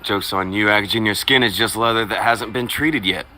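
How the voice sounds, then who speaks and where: A man's voice: fairly low pitch, a little fast, sharp tone, soft background music. A man co-hosting a live stream, chatting casually, reading viewer comments aloud and telling crude, profane stories with a teasing edge. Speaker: stream co-host.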